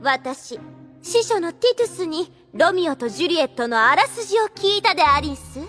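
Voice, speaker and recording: A very high, bright vampire girl, haughty and jealous. She talks in archaic courtesan phrasing with theatrical indignation, in the manner of an anime audio drama. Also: big pitch swings, normal speed, faint music behind, full character voice. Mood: dramatic, flustered. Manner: quaint courtesan-style verb endings, accusing, theatrical.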